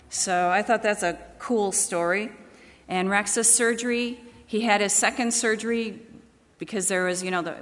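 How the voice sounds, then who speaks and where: A woman's voice: medium pitch, a bit bright. A woman church leader speaking in a plain, conversational way to a congregation during announcements and prayer. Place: large church meeting hall. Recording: echoey hall with long reverb.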